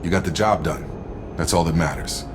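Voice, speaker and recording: A man's voice: low-pitched, brisk, noisy background, close to an everyday voice. A man playing a loyal, easygoing soldier in a sci-fi video game, straightforward and supportive. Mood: earnest. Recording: loud background music. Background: game music.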